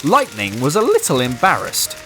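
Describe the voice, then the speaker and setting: A man's voice: mid-range voice, huge pitch swings, normal speed. A man reading a scripted children's story as a storybook narrator. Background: music.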